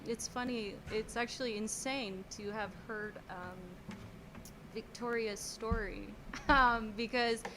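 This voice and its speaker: Woman, young, higher pitch, chatty, faint background noise, video call. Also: fairly bright tone. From French Canada, Montreal. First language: French. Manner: chatty, giggly, trailing restarts. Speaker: guest songwriter. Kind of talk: casual chat.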